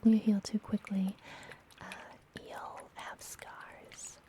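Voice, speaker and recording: A woman playing a friendly apothecary witch in a fantasy ASMR roleplay, speaking gently in a breathy, soothing whisper. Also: mid-range voice, level tone, lightly acted. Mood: calm, instructive. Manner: slow, gentle, soothing delivery. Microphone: close ASMR microphone.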